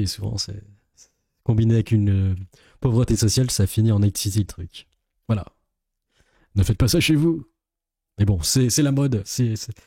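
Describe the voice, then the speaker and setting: A man's voice: fairly low pitch, warm tone, no echo, clean studio sound. A man giving casual, sardonic, complaining live commentary as a streamer. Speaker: streamer, reaction host.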